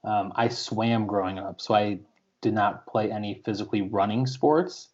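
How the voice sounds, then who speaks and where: A man's voice: fairly low pitch, normal speed, a little mellow. A man co-hosting a podcast, chatting and telling a story with lots of ums. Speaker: podcast co-host. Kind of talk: casual podcast chat. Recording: slightly lo-fi podcast audio.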